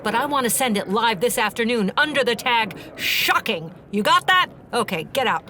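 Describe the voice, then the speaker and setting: A woman's voice: fairly high pitch, lively intonation, normal speed, bright, forceful tone, slight background noise. A woman playing a brusque, bossy editor-in-chief in a curt, commanding 1930s radio comedy style.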